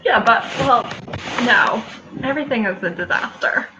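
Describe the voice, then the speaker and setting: A woman's voice: on the high side, animated, normal speed, background noise. A flustered, exasperated woman talking casually as a guest on a livestream video call. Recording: muffled lo-fi call audio.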